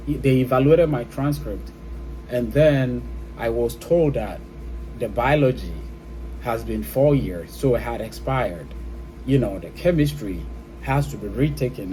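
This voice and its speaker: A man's voice: mid-range voice, warm tone, slight background noise, low-quality recording. A man with a Ghanaian accent giving his opinion in a casual, explanatory vlog style, often checking in with the listener. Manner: explanatory, often checks in with "okay?" and "you know". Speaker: vlogger. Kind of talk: casual opinion talk to camera.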